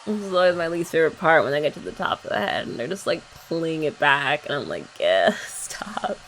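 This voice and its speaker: Young woman, ASMR girlfriend roleplay; playful, teasing, lively pitch, some vocal fry, faint dryer noise behind. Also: brisk, poor audio. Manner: playful teasing chat, soft-spoken. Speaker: ASMR creator.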